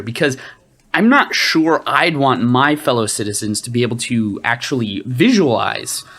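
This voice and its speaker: A man's voice: mid-range voice, a bit bright, lightly acted. A man playing an earnest, indignant radio field reporter in a scripted satire, speaking in a prim, formal manner.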